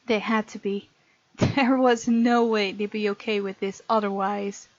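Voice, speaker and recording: A woman's voice: medium pitch, steady tone, unhurried, no echo, dull audio. A woman hosting a gaming video, expressively reading game text aloud with casual, playful commentary.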